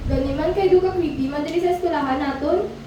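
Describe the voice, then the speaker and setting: A young woman plays an agreeable but anxious schoolgirl in an amateur radio play. The voice is extremely high and distant, with heavy echo and clear noise. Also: quick pace, lo-fi sound, close to an everyday voice. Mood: eager, pleading. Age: teenager or young adult. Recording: echoey hall, distant mic, noisy.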